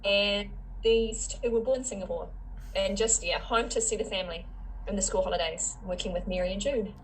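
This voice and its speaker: A woman introduces herself, pausing and restarting as she goes. Her tone is relaxed. A faint noise runs in the background. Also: medium pitch, low-quality recording. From New Zealand. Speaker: participant.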